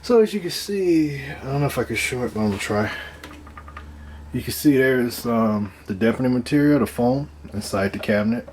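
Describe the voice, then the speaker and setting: A man giving a casual, hands-on demonstration of his own DIY project, talking in a halting, unscripted way as he thinks aloud, with some noise in the recording. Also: medium pitch, expressive, darkish tone. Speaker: DIY speaker builder presenting own project.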